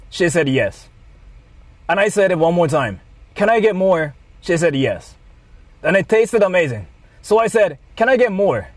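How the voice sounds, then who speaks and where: Male streamer, higher-than-average pitch, slow deliberate delivery in broken phrases, mock-apologetic monologue. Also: dry recording. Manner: deliberate, halting short phrases. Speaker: livestreamer.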